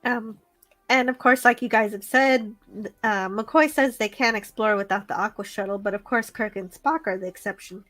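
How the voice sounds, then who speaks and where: A woman co-hosts a review show in a casual, conversational way. Her voice sits at a middle pitch as she recaps and discusses. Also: fairly even intonation, normal speed, fairly bright tone, no room sound. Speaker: co-host of an online review stream.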